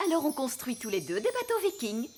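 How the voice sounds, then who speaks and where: Bossy fairy woman, high and swooping. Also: huge pitch swings, a little fast, darkish tone, a little hiss or hum. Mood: smug. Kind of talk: children's cartoon dub. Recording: music under the voice.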